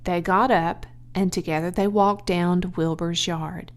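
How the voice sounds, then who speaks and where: A woman narrating a children's audiobook, reading scripted prose in an expressive, clearly phrased way. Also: lowish voice, measured pace.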